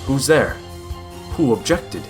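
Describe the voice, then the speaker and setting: An authoritative judge, acted by a man, presides in a courtroom audio drama. His voice is mid-pitched and formal, declaiming over clearly audible music. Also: very animated, takes it slowly. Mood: startled.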